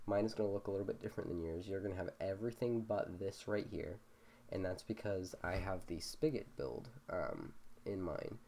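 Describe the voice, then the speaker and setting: A young man with a deep, low voice, a little stuffy from a cold, walks through coding steps at his computer. He talks casually and haltingly, with frequent ums. Faint keyboard typing can be heard behind him. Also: dark tone, a little hiss or hum. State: slightly congested from a cold. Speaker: programming tutorial host. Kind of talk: screen-recorded coding walkthrough.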